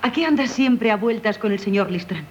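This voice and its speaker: An actress playing a gentle, proper young elder sister in 1970s Castilian Spanish radio theatre, earnest and polite. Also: female voice, fairly high pitch, expressive, brisk, natural voice. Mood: scandalised. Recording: muffled, noisy 1970s radio broadcast.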